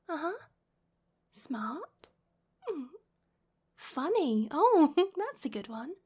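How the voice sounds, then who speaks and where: Woman acting high-voiced doting British mum, soft ASMR. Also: exaggerated voice. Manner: affectionate, coaxing, lots of pet names and sighs. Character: warm, doting, gently flirty middle-aged divorced mother. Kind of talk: soft intimate ASMR roleplay.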